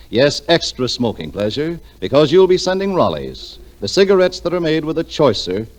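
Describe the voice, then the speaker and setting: An American man announcing a scripted 1940s radio advertisement with a polished, emphatic sales delivery. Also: a little high. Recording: vintage 1940s radio broadcast.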